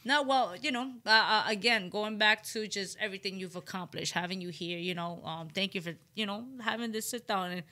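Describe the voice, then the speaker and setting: A woman's voice: mid-range voice, a little fast, hardly any pauses, sharp tone. A woman hosting a casual interview, speaking in an encouraging, slangy way with frequent "you know". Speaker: interview show host.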